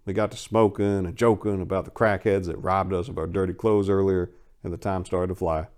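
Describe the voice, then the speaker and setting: A man narrating a story as a dramatic reading, theatrical and folksy, swinging between hushed delivery and loud emphasis. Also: lowish voice. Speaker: narrator and channel host.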